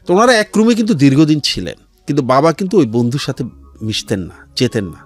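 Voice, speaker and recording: Lively middle-aged Bangladeshi man telling stories. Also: medium pitch, breathy edge. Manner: measured storytelling with dramatic pauses and quoted dialogue, occasional English words. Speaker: interview guest, civil servant. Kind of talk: personal life storytelling in an interview. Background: background music.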